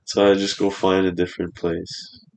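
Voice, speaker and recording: A man's voice: deep voice, level tone, moderate pace, creaky. A man in his twenties chatting casually on a podcast in a studio, rambling and swearing freely. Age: 20s.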